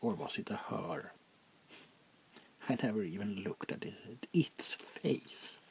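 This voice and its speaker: Guy doing an old french vampire prince for asmr, real slow n gravelly, whispery, snooty and menacing. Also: lowish voice, darkish tone, a little hiss or hum. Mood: commanding, dismissive. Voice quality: gravelly, breathy half-whisper. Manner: slow, deliberate, condescending, drawn-out pauses. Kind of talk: soft-spoken ASMR roleplay, half-whispered.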